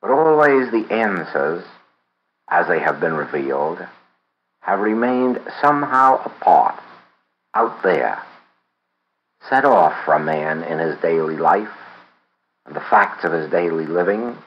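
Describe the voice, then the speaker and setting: A man reading a spiritual book aloud in a measured, deliberate way, pausing often between phrases. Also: sits low, animated, dull audio, slight background noise. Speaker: reader of a book. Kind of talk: read-aloud audiobook of a spiritual text.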